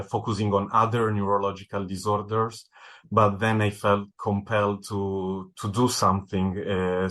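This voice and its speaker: A man's voice: lowish voice, fairly bright tone. A man from Italy with an Italian accent, speaking as a neurologist guest in an interview, thoughtful and often repeating words as he searches for them. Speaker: medical director, neurologist.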